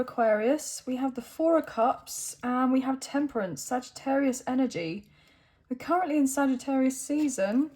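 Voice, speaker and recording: A woman with a croaky throat giving a chatty tarot reading to camera, thinking aloud as she draws cards. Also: sits high, dark tone. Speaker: tarot reader.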